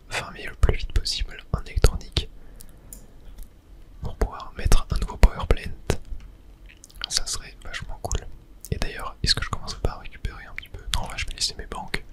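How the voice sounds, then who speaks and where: An ASMR gamer commenting on their own play in a breathy, unvoiced whisper, casually muttering to themselves with slangy asides. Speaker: video game streamer narrating own play.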